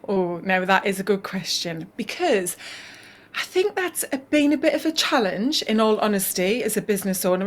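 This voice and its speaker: A woman from northeast England with a Northeast English accent, a health coach guest speaking earnestly and thoughtfully on a video call, often saying "you know". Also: medium pitch. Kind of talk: casual video call interview. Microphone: video call mic.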